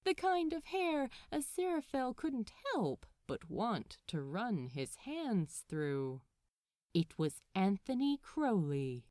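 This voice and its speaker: Animated woman reading a story, unhurried. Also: medium pitch, no echo, studio quality. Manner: animated, measured, dramatic phrasing. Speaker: podfic narrator. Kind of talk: expressive scripted storytelling narration.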